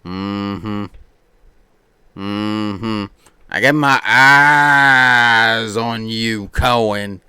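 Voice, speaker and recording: A man livestreaming as he reads a visual novel aloud, mixing theatrical narration with playful, excitable banter in a close, dry-sounding setup. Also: on the low side, very slow, long pauses, clear, resonant tone, no echo.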